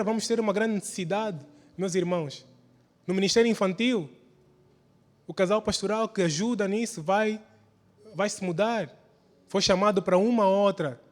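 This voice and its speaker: Reverberant, high-voiced male preacher. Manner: exhorting, repetitive, rhetorical questions. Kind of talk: church sermon. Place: large church hall during a service. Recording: reverberant hall sound.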